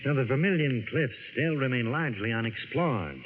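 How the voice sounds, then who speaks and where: An actor playing a genial, persuasive professor, eager and hearty, in mid-century radio drama style. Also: male voice, medium pitch, animated, moderate pace, lightly acted. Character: genial, persuasive male professor and expedition leader. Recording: echoey, noisy tinny old radio.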